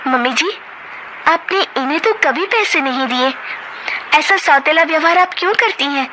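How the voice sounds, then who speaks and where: A woman's voice: high voice, animated, normal speed, noisy background, pitched above the performer's own voice. A woman voicing an outspoken young wife who talks back sharply and defiantly.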